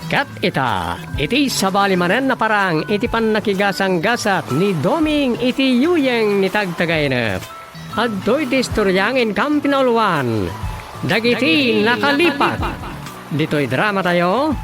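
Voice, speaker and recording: Male radio drama announcer, Ilocano accent, slow animated delivery over music. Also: high-pitched. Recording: music behind the voice. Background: loud theme music.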